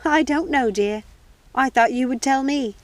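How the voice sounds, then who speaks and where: A woman's voice: high-pitched, measured pace, no echo, voice pushed up. A woman voicing a kindly, folksy old woman who calls people dear, in a storybook reading. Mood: amused, gentle. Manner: folksy, addresses others as dear. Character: kindly chuckling old woman, ready to pass on.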